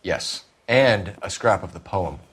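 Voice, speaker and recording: Intense low-voiced young detective, noisy backdrop. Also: male voice, animated, takes it slowly. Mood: serious, focused. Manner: clipped, serious, recites verse gravely. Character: earnest, intense young male 1940s police detective, bookish. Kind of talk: dramatic video game acting.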